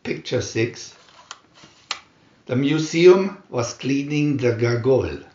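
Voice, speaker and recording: A man reading a scripted adventure story aloud in a dramatic, deliberate narrator's manner. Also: mid-range voice, lively intonation, warm tone, roomy sound, dull audio, a little hiss or hum. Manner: dramatic, deliberate comic-adventure reading with announced picture numbers. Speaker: narrator and reader.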